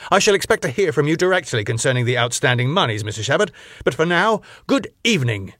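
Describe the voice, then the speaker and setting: A man's voice: on the high side, very animated, fast, fairly bright tone, no echo, studio quality, pitched above the performer's own voice. A man voicing a pompous, hostile older ballroom owner who talks down to people and snaps with outrage. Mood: haughty.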